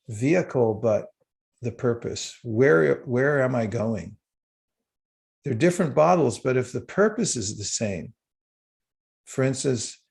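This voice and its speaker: A man giving a livestreamed spiritual talk with slides, unhurried and halting, with small chuckles. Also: medium pitch, creaky, a little mellow. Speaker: spiritual teacher.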